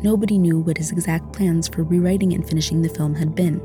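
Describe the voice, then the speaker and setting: A woman's voice: lowish voice, steady tone, fast. A woman narrating a documentary from a script. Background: music.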